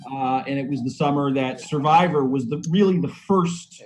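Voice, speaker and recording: A man's voice: medium pitch, normal speed, rough recording. A man discussing his own writing casually on a video call, hesitant and often repeating his phrases. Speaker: playwright. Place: indoor room, video call. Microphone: computer mic on video call.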